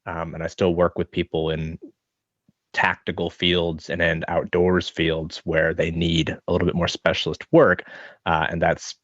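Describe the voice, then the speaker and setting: A man in his thirties with an American accent, answering interview questions in a relaxed, thoughtful, rambling way. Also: sits low, unhurried, dry recording. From American Midwest. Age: 30s. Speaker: podcast guest, online fitness coach. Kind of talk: casual interview chat. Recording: dull lo-fi sound.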